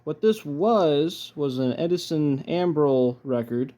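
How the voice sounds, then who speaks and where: A man giving casual, low-key unboxing commentary as a collector, halting often as he thinks aloud. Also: lively intonation, dark tone. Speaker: record collector presenting his haul.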